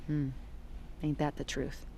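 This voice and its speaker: An actress playing a tough, seasoned, world-weary detective in an audio drama, speaking in clipped, dry commands. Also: female voice, low voice, completely flat delivery, takes it slowly, background noise. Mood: wry, reflective.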